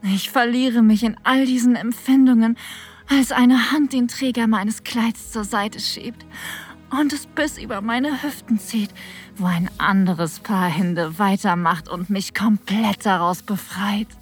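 An actress playing an aroused woman who narrates an intimate audio drama in a breathy, sensual, partly whispered voice. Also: a little high, a little slow, faint music behind, close to an everyday voice.

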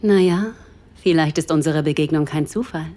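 A woman's voice: sits low, normal speed, mellow, lightly acted. An actress playing a self-assured, flirtatious woman writer in an intimate audio drama, with a playful, inviting manner.

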